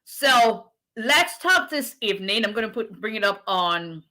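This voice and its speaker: Bright-voiced woman chatting live. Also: mid-range voice, lively intonation, unhurried, poor audio. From Nigeria. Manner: chatty, greets viewers by name, encouraging and preacher-like. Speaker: immigration attorney. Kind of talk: casual livestream chat.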